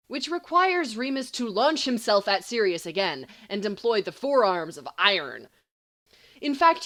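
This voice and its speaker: A woman reading scripted fiction aloud as an expressive, dramatic storyteller. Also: mid-range voice. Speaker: audiobook reader.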